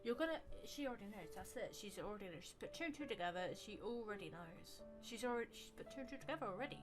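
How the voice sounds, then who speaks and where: A woman's voice: medium pitch, lively intonation, fast. A woman giving casual, jokey gameplay commentary, talking back to the characters as she plays. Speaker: streamer playing a game. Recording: loud game music behind.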